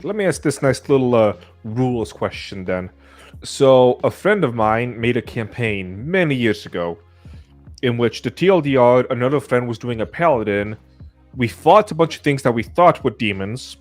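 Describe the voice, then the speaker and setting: A man's voice: mid-range voice, expressive, normal speed, soft background music. A man in casual podcast conversation, talking like someone sharing a story with friends. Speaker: podcast participant. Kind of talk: casual anecdote and questioning.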